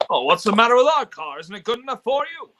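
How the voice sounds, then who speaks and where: A man acting as a desperate, irritable family man in a live radio play. His delivery swings widely in pitch, stammering and emphatic, with a sharp, forceful edge. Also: sing-song, quick pace, slight background noise, heavily acted. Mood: bitter, irritable.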